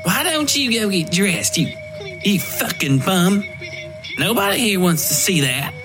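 Male narrator as scolding country wife, higher voice, snappish, evasive, horror storytelling, soft music behind. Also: sing-song, measured pace. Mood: scornful. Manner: snappish, nagging rural speech.

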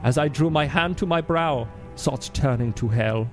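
A man playing a solemn, gloomy German cultural ambassador with a German accent, giving a dramatic comic reading and pedantic deadpan explanations. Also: a little high, normal speed, lightly acted. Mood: ominous. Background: music behind the voice.

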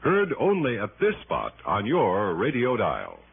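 A man's voice: lowish voice, huge pitch swings, unhurried, vocal fry. A man reading a scripted 1960s radio network promo with polished, emphatic announcer delivery. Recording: narrow-band old radio broadcast, faint noise.

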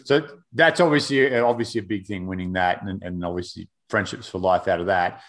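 A man interviewing a rugby player, asking questions in a relaxed, chatty way. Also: deep voice, brisk.

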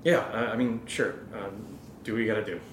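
Casual young American actor as a man, rumbly background. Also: fairly even intonation, a little fast, slight background noise, close to an everyday voice. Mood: uneasy, confiding. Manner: casual, hesitant, sweary. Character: anxious young man playing the rational, reassuring friend. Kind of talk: naturalistic audio drama acting.

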